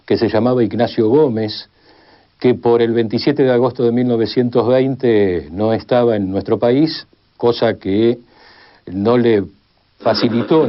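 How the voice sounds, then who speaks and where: An Argentine man giving an unscripted explanation as an expert being interviewed about history. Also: on the low side. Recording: slightly dull audio.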